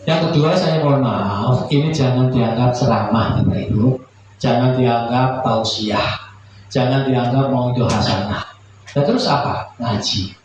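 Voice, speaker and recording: A man's voice: medium pitch, unhurried, soft background music. A man, giving an informal Islamic sermon in a folksy, humble, joking way, chatting with his listeners over a public address microphone. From Indonesia. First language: regional Indonesian village language. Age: 40s. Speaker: Islamic preacher. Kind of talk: informal religious sermon to a congregation. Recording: very echoey, muffled, noisy PA recording.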